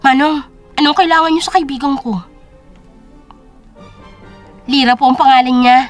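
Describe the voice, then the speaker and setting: A woman's voice: sits high, fairly even intonation, normal speed, faint music behind, lightly acted. A woman voicing a nosy, protective young woman in an audio drama, blunt and pushy in manner. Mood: nosy, demanding.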